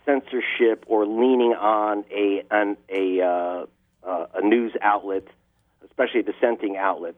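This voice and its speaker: Impassioned man on a tinny phone line. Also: on the low side. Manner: impassioned, building emphasis on key words. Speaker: investigative journalist guest. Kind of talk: interview answers. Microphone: telephone line.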